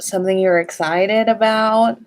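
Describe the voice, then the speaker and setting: Female livestream host, unhurried, medium pitch, informal and playful chat. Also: steady tone, slight background noise. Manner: playful, sing-song at times; informal, swears casually.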